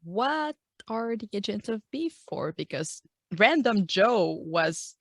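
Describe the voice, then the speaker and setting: A woman playing a tabletop game, casually and haltingly thinking aloud as she discusses what to do. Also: mid-range voice, sing-song, fairly bright tone, dry recording, clean studio sound.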